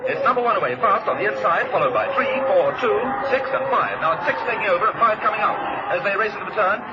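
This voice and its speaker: A man's voice: high-pitched, expressive, fast. A man acting a clipped, declamatory greyhound track announcer in a vintage radio drama. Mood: urgent, excited. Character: brisk male greyhound race track announcer. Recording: muffled vintage radio, heavy noise.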